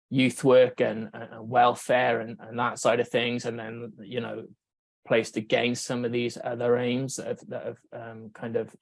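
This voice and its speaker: A Welsh man gives a measured conference talk, pausing on fillers and restarting phrases as he works through his points. His voice is gravelly and stays flat in pitch. The sound is close and dry. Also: no room sound. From Wales. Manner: measured, thinking aloud with many fillers and restarts. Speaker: researcher giving a conference talk. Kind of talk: conference presentation.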